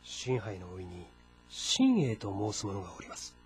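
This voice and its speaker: A calm, deferential warlord's adviser, in a slightly low voice. He speaks formally and with deliberate composure in a historical game drama, over faint music. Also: breathy edge, heavily acted. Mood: cautious, counselling. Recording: music behind the voice. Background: background music.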